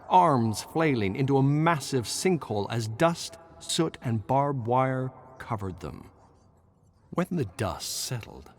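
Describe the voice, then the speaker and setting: A man's voice: medium pitch, a little hiss or hum. A man reads a scripted horror story in a theatrical, suspenseful manner, drawing out words for emphasis. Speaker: narrator reading game lore stories. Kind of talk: dramatic scripted horror narration.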